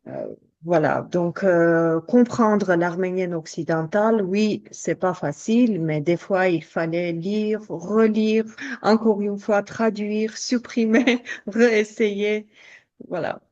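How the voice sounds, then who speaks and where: A woman's voice: mid-range voice, slow pace, darkish tone, dull audio. A woman from Armenia, a native Armenian speaker, talking carefully as a guest on a radio show, with a light laugh now and then. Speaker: translator.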